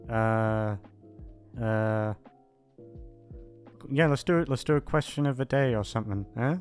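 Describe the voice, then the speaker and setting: A man's voice: medium pitch, a little slow, darkish tone. Hesitant, rambling man with music behind. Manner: hesitant, self-deprecating, aimless. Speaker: voice actor signing off to listeners. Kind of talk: casual rambling outro chat.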